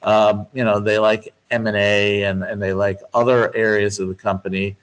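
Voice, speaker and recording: Low-voiced man explaining business matters. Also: flat delivery, moderate pace, clear, resonant tone, rough recording. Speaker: guest speaker, finance expert. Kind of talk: interview answers, explanatory business talk.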